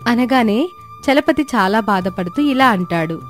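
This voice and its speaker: A woman's voice: mid-range voice, expressive, moderate pace, faint music behind. A woman narrator reading a scripted moral story between the characters' dialogue.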